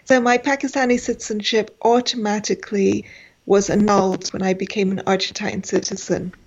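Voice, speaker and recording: A woman's voice: medium pitch, level tone, brisk, dull audio. A woman in her forties, a guest in an interview, speaking with many fillers and restarts. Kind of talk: conversational interview.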